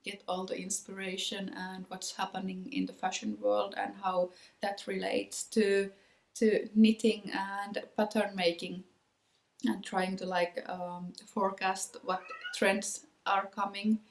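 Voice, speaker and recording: A young adult woman with a Finnish accent hosts her knitting video podcast in a relaxed chat to camera, often pausing with ums and restarting her sentences. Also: mid-range voice. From Finland. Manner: hesitant, many ums and restarts. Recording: echoey room.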